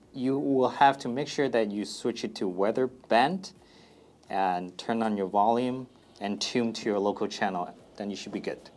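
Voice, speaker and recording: A man's voice: mid-range voice, darkish tone. A man presenting a product FAQ as a company presenter, explaining things plainly and step by step in a casual demonstration style.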